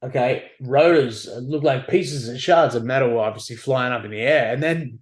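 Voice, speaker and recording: A man's voice: medium pitch, moderate pace. Brief: Australian male veteran, 30s, candid podcast guest, easygoing storyteller. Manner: relaxed, candid, acts out quotes. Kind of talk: casual storytelling chat.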